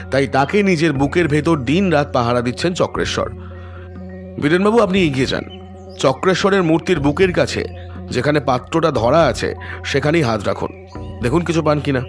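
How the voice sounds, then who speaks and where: A man playing a calm, self-assured detective in an audio story, explaining things deliberately and without fluster. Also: on the high side, natural voice.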